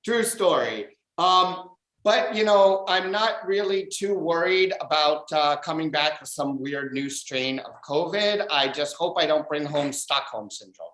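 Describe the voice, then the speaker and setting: A man doing a stand-up comedy set over a video call, delivering dry deadpan one-liners. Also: fairly high pitch, unhurried, few pauses, roomy sound, poor audio. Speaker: stand-up comic. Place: abroad in a home room.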